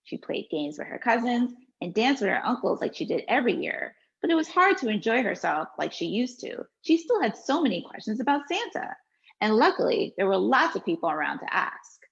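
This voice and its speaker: A woman reading her story aloud, her voice gravelly and moving through a wide, lively pitch. The delivery is expressive. The recording is dull and lo-fi. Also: brisk. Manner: expressive storytelling, relaxed with fillers when answering. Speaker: children's book author reading her story. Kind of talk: read-aloud storytelling, then interview answers.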